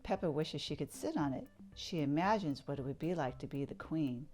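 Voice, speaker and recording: Woman, storybook narrator, expressive read-aloud, low pitch, lively intonation, faint music. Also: normal speed. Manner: expressive, sing-song reading. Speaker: children's book reader.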